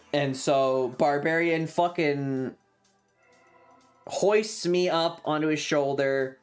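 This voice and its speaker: A streamer telling a story casually and emphatically to viewers, with frequent swearing. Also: medium pitch.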